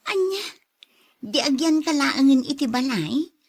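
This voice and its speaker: A woman's voice: high-pitched, lively intonation, unhurried. An actress playing a candid, concerned young female friend in an audio drama, with an Ilocano Filipino accent. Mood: surprised.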